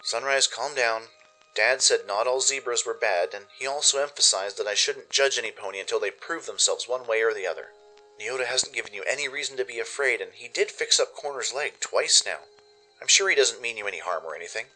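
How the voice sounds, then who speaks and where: A man's voice: mid-range voice, fairly quick, pushed and bright, faint music behind, natural voice, low-quality recording. A man voicing an anxious, earnest young pony heroine in an audio drama, talking to herself in nervous self-doubt. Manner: nervous, self-reassuring inner monologue. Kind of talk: first-person audio drama narration.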